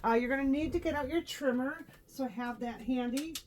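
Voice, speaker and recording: A woman's voice: high-pitched, normal speed, darkish tone, slight background noise. A woman in her fifties, a little sniffly from allergies, chatting through a live craft tutorial with lots of ums and uhs. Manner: chatty, many ums and uhs, step-by-step instructions. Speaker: craft instructor. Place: home craft room, indoors.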